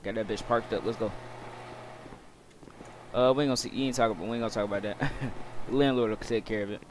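A man's voice: fairly low pitch, fairly even intonation, fast talker. An American man giving casual, slangy, profane commentary while playing a roleplay game. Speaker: gaming video host. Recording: loud car engine noise behind. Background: loud game car engines.

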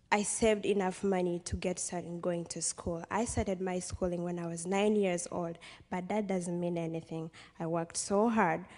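A woman's voice: fairly low pitch, darkish tone. A young woman with a Ugandan accent giving an earnest, emphatic personal speech to an audience from a podium. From western Uganda. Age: young adult. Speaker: guest speaker, college student. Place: stage in a large hall. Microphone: podium microphone. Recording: long hall reverb from podium PA.

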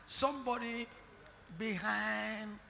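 A man with a Nigerian accent, a prophet preaching into a handheld mic in a large church hall, declaring and shouting in bursts. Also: high voice, fairly even intonation, takes it slowly, creaky, slight background noise. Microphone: handheld microphone. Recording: long hall reverb, music behind.

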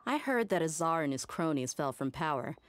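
A woman's voice: low-pitched, sing-song, brisk, slight background noise. A woman playing a tough, free-spirited young mercenary bodyguard in a video game, self-assured and casual. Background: background music.

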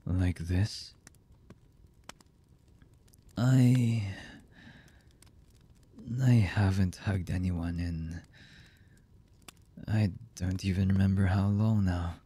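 An actor playing a brooding, distrustful young anime villain in a boyfriend ASMR roleplay, with a husky, breathy voice and halting, hushed delivery. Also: male voice, low-pitched, fairly even intonation, full character voice. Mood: tender, awkward. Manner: slow, halting, hushed delivery with long pauses.

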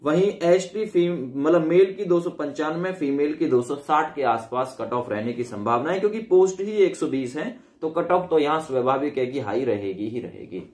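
A man's voice: a little high, expressive, normal speed, few pauses, darkish tone. A man, explaining to camera in an emphatic, conversational way, like an informal coaching teacher. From India. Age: 20s. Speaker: exam coaching teacher and presenter. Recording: echoey room sound.

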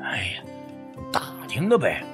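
A man's voice: on the low side, wildly swooping pitch, a little slow, over music. A man playing a sly, sheepish rural man in an audiobook, stammering and wheedling as he angles for money. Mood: sly, eager. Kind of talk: acted audiobook dialogue.

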